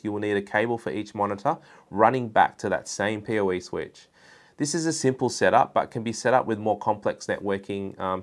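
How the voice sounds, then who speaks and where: Male tech presenter, forties, lowish pitch, informal instructional delivery for a scripted product explainer. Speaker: presenter for a networking and security business. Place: indoor studio room at a desk.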